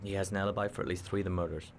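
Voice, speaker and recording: A man playing a calm police officer in a video game drama, speaking in a matter-of-fact way. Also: low voice, racing. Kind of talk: cinematic video game acting. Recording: clearly noisy background ambience.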